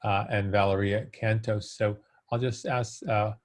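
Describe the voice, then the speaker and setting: A man moderating an online panel, introducing speakers informally in a somewhat halting, hesitant way. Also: fairly low pitch, little pitch movement. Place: remote video call.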